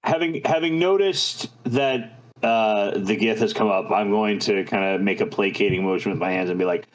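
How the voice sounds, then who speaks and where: A man's voice: fairly low pitch, moderate pace, rough recording. A man chatting casually and role-playing as a player in a tabletop game.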